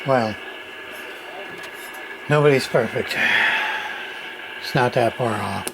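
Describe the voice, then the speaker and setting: A man's voice: medium pitch, takes it slowly, gravelly, very noisy. A man testing and repairing an old radio, muttering test calls and meter readings in casual workbench commentary.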